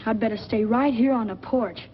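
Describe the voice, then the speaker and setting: Mischievous, slangy small boy, a young male actor, high voice, muffled vintage movie sound. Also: a little fast, lightly acted. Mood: sulky. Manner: slangy, boyish. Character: mischievous, restless small boy, sulky but pally. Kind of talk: 1940s film acting. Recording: dull, noisy old film soundtrack.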